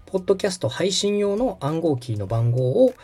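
Husky, lively man giving patient instructions. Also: high-pitched, fairly quick, faint music behind. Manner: patient, polite explaining. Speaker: host and radio director guiding a setup. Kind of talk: conversational step-by-step tutorial.

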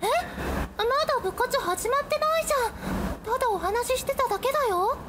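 A woman acting in a radio drama as an earnest, worried high school girl who captains her club. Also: animated, brisk. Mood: puzzled, concerned. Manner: narrates her story and pleads with friends. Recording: very noisy lo-fi radio drama recording.

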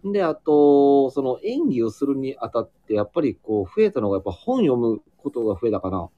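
A man talks casually in a lively, up-and-down voice, often pausing to hunt for words. The sound is dry and close, a little dull and lo-fi. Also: medium pitch, no room sound. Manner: halting, searching for words. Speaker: acting student, guest in a group chat. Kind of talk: casual chat, reflecting.

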